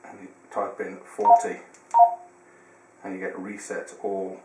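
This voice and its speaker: Low-voiced man giving a roomy tutorial. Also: monotone, a little slow, mellow. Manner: hesitant, explaining step by step. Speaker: tutorial presenter. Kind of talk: casual product how-to demonstration. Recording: roomy, distant mic, faint noise.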